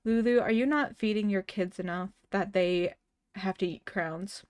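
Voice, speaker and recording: A woman's voice: mid-range voice, normal speed, darkish tone, no room sound. A woman streamer in casual chat with her viewers, stuttering often and restarting words, laughing now and then. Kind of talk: casual livestream chat, reading chat messages and a story aloud.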